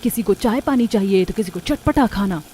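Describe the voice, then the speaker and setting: A woman's voice: medium pitch, animated, brisk, dark tone, slight background noise, natural voice. Woman acting an exasperated young daughter-in-law. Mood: irritated. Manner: huffy, complaining. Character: exasperated, overworked young daughter-in-law, dutiful but resentful. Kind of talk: Hindi cartoon story dialogue.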